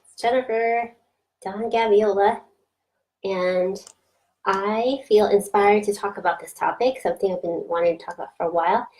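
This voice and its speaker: A woman's voice: mid-range voice, moderate pace, darkish tone, low-quality recording. A woman coach talking casually and reflectively on a live video stream, with frequent fillers and restarts. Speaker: coach for introverted business owners. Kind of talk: casual Facebook Live talk.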